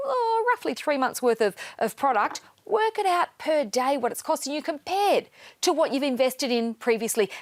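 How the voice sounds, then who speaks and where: A woman with an Australian accent presenting a skincare product on a TV shopping show, talking eagerly in quick bursts of emphasis. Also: high voice, no echo. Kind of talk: enthusiastic sales pitch.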